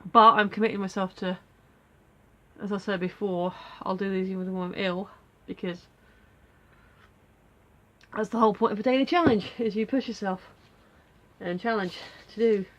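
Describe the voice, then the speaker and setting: A woman's voice: mid-range voice, steady tone, normal speed, long pauses. A woman with a stuffy nose from a cold, rambling casually with many fillers in a daily video diary from home. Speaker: vlogger.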